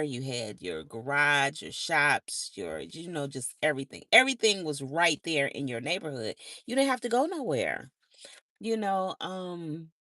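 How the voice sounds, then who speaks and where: A hoarse woman with a low, gravelly voice tells a family story on a livestream. Her pitch leaps and dips expressively as she rambles through chatty asides. The sound is close and dry. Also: a bit bright, no room sound. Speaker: motivational speaker. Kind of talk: casual live-stream storytelling.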